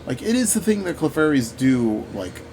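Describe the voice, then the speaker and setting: A man gives casual commentary over a video game in a mid-pitched voice. He rambles and thinks out loud as he goes. A clear steady background noise runs under his voice. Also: moderate pace, rough recording. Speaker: gaming video host.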